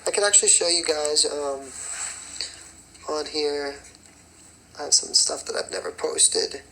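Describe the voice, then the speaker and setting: A raspy young man, a singer and choreographer, chatting casually on a phone livestream and rambling with many fillers. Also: on the high side, a little slow, bright, forceful tone, a little hiss or hum, poor audio. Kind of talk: casual livestream chat answering comments.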